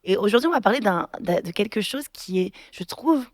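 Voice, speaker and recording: A woman's voice: mid-range voice, expressive, brisk. A woman pastor hosting a faith podcast, introducing her guest with open emotion and frequent affirming murmurs. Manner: emotional, affectionate introductions with many affirming "mhm"s. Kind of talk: warm podcast interview.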